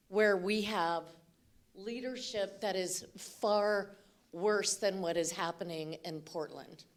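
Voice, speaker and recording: A woman speaking informally and candidly as an audience member, putting an unscripted question to a panel with emphasis. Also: medium pitch. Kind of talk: spontaneous spoken question to a panel.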